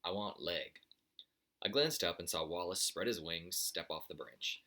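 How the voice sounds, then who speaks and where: A man's voice: low-pitched, normal speed, sharp tone. A man narrating a children's story aloud in the first person, as the storyteller.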